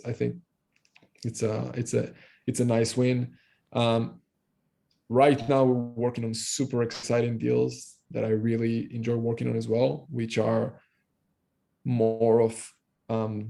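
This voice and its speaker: Thirties guy from israel on a video call, gravelly voice, pretty flat delivery, lots of ums and restarts. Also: medium pitch. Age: 30s. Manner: fast, loose talk with many fillers and restarts. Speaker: guest entrepreneur. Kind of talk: casual interview talk. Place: room at home.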